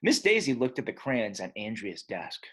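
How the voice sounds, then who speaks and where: A man reading a children's book aloud as an expressive storyteller, with a husky voice. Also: lowish voice, normal speed.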